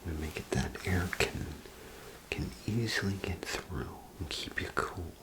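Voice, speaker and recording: Slow, whispering low-voiced man. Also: rough recording. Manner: gentle, hesitant, unhurried salesman patter. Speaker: ASMR performer playing a clothing salesman. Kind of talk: ASMR role play, soft whispered sales patter.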